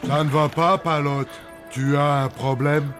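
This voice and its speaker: A man playing a kindly, slow-witted cartoon zombie who speaks simply and hesitantly. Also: on the high side, flat delivery, close to an everyday voice. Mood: kindly, confused. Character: kindly, dim-witted, lumbering male zombie. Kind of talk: French cartoon dub. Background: loud background music.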